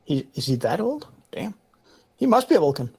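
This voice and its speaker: A man's voice: high-pitched, big pitch swings, moderate pace, creaky, a little mellow. A man from Denmark, chatting casually on a podcast panel, rambling and swearing offhandedly. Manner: rambling, looks things up aloud, swears casually. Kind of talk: casual chat.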